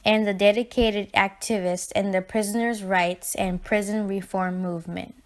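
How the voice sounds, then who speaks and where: A woman reading a scripted documentary narration in a measured, read-aloud way. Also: medium pitch, steady tone.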